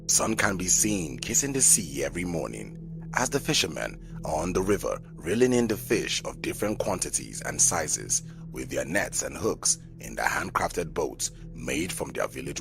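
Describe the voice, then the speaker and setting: A man narrating a scripted audio drama in a measured, dramatic storybook manner. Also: low-pitched, creaky. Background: music.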